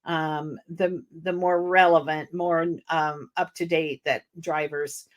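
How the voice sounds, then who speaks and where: An older woman hosting an interview podcast, relaxed and rambling with fillers. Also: low-pitched, slow. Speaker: podcast host. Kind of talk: casual interview chat.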